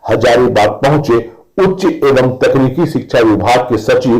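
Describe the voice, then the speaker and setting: A male radio correspondent reads a scripted district report. His delivery is measured and formal, at an ordinary middle pitch. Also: darkish tone.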